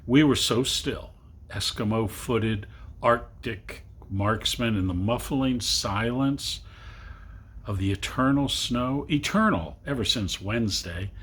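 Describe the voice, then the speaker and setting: A man reading a literary story aloud to children, with dramatic, deliberate phrasing and long pauses. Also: medium pitch, fairly bright tone, breathy edge. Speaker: reader. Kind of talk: expressive storybook narration for children.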